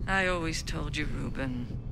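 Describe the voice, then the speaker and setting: A slow, teasing, menacing woman. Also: sits low, gravelly, noisy background. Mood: coolly menacing. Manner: theatrical, teasing, deliberate delivery. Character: poised, cryptic, condescending woman, coolly menacing. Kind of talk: cinematic video game acting.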